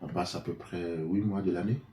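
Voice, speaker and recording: A man's voice: low-pitched, steady tone, normal speed, warm tone. A man from Benin, an interview guest, answering in a hesitant conversational way with many fillers and restarts. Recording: echoey room, faint noise.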